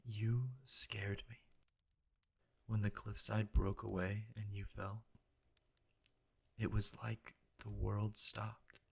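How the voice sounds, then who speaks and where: Man acting as gravelly, guilt-ridden devoted knight. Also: lowish voice, level tone, takes it slowly, pauses often. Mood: anguished, tender. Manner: formal, earnest, deferential. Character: devoted, guilt-ridden young male knight, formal and self-reproaching. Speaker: roleplay audio performer. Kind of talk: ASMR romantic fantasy roleplay acting. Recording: roomy echo, faint noise, clicks.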